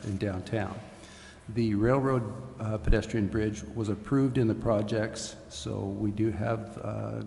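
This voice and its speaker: A man reports formally at a public meeting in a halting, deliberate way. His voice is creaky, mellow and very even in pitch. It echoes through a noisy chamber. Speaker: city official reporting to council. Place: indoor city council chamber.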